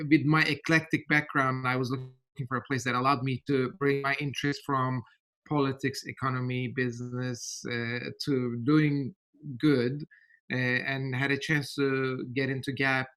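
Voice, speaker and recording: A middle-aged man from Turkey with a Turkish accent, giving a podcast interview in a measured, thoughtful way with many hesitations. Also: mid-range voice, fairly bright tone, rough recording. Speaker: interview guest.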